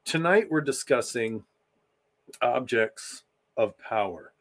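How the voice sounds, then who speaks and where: A man's voice: medium pitch, lively intonation, slow pace. Casual guy cohosting a fan podcast, informal, repeats stuff for emphasis, bit sweary. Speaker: podcast co-host.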